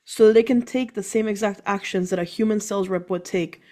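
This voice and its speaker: A woman who is a guest on a business podcast, explaining her work quickly and enthusiastically. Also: mid-range voice, steady tone, dark tone. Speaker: guest entrepreneur. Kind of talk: casual interview chat.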